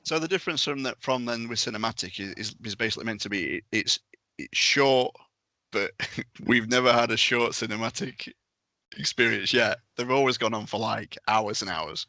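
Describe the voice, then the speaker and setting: Guy on a podcast explaining stuff casually, lowish bright voice, really dry sound. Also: moderate pace, no echo. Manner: informal, explanatory, giving examples. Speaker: podcast panellist explaining a tabletop game.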